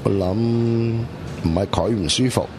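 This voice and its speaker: A man's voice: on the low side, takes it slowly, background noise. A man playing an easygoing young friend in casual Cantonese radio drama dialogue. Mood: gently advising.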